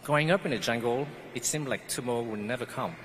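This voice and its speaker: A man's voice: medium pitch, fast talker, fairly bright tone, slight background noise. A man from French Guiana, not a native English speaker, giving an earnest commencement speech about his life. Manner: earnest storytelling. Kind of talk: prepared speech. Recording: echoey hall, faint ambience.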